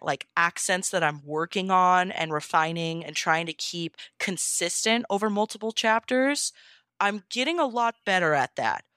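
A woman with a clear, smooth and lowish voice answers interview questions. Her delivery is casual, earnest and reflective. The recording is tight and dry, without any room sound. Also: fairly bright tone, no echo. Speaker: interview guest, amateur audiobook-style fan fiction reader.

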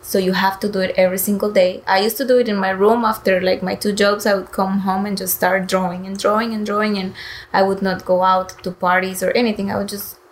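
A woman's voice: mid-range voice, flat delivery, brisk, rough recording. Earnest woman talking as a guest. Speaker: interview guest, painter. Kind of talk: conversational interview answers.

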